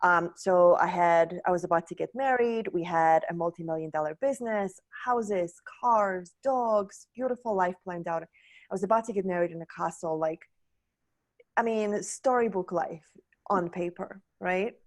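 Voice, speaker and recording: A woman's voice: on the low side, moderate pace. A woman from Poland telling her personal story as a podcast guest, candid and searching, often restarting her sentences.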